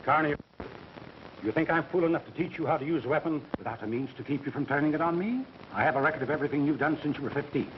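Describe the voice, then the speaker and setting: A man's voice: on the high side, quick pace, slight background noise, close to an everyday voice. An actor playing an irascible, self-important businessman and show sponsor in 1930s melodrama style, bossy and grumbling. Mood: cold, threatening. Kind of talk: 1930s film melodrama acting. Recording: muffled vintage 1930s film soundtrack, music behind.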